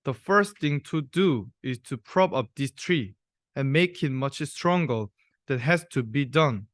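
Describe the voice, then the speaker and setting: A man's voice: medium pitch, lively intonation, a little slow, no room sound, clean studio sound. A young man, a student, reading a rhyming children's story aloud. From South Korea. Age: young adult. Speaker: university student reader.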